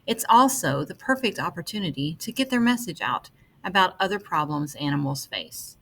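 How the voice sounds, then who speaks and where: A woman reading an article aloud as scripted narration, with measured, deliberate phrasing. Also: on the low side, sing-song, pushed and bright, husky.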